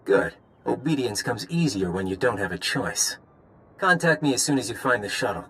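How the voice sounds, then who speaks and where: A man's voice: mid-range voice, big pitch swings, normal speed, pushed and bright. An actor playing a smug, coldly controlling male spy handler who taunts, in a video game. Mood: smug, menacing.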